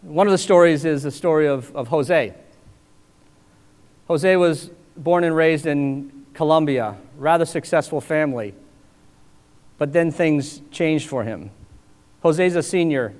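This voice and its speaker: A man's voice: fairly high pitch, measured pace, pauses often, darkish tone. A middle-aged man introducing a student speaker from a conference stage, talking like a storyteller. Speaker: conference presenter. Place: large conference hall stage. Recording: long hall reverb with audience.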